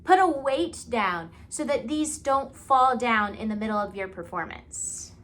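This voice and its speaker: Slow-talking, higher-pitched woman teaching children. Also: lively intonation, fairly bright tone. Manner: simple child-directed talk with frequent check-in questions. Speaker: teacher demonstrating a craft for children. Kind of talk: step-by-step how-to demonstration for children. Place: indoors at home.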